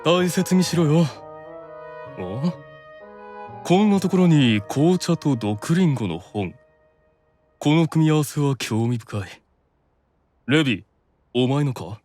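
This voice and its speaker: A man voicing a stern but caring elder-brother demon in an anime audio drama, measured, authoritative and sometimes exasperated. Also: a little high, expressive, darkish tone, soft background music. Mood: composed.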